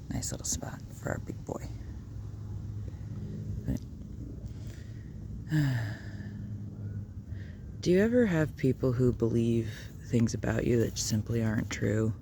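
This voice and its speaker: A man talking musingly while walking his dogs outdoors, sometimes speaking softly to them, recorded on a phone. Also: on the low side, vocal fry, noisy background. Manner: musing, soft-spoken, talks to his dogs. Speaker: video blogger. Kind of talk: rambling walk-and-talk vlog. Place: outdoors on a neighborhood walk.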